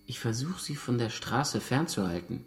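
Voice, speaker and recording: An actor playing a defiant, evasive uncle under interrogation in a naturalistic radio drama, answering curtly. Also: male voice, medium pitch, lively intonation, normal speed, natural voice.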